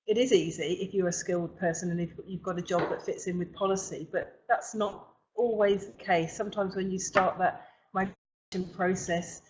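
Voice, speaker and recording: A middle-aged woman hosting an informal webinar, speaking haltingly with frequent pauses mid-sentence. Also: fairly low pitch, fairly even intonation, background noise. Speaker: webinar host for a recruitment company. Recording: dull, lo-fi webinar audio.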